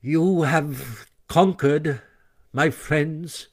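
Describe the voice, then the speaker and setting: A man's voice: high-pitched, slow pace, a little mellow, voice pushed up. A man playing a vain, theatrical old-world toad. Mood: grandiose lament. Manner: pleading, grandiose, quavering. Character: boastful vain male toad, theatrical, self-pitying.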